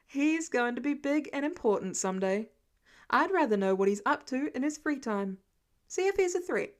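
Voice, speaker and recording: A commanding middle-aged billionaire inventor, played by a woman for an audiobook. He speaks briskly, with breezy, casual authority. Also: high voice, lively intonation. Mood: guarded.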